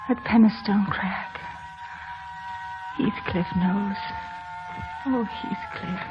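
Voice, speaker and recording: A woman's voice: medium pitch, fairly even intonation, extremely slow, background noise. An actress playing a dying, lovesick young woman with wistful, theatrical delivery in an old-time radio drama. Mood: dreamy. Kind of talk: 1940s-50s radio drama acting. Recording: muffled old radio broadcast with music.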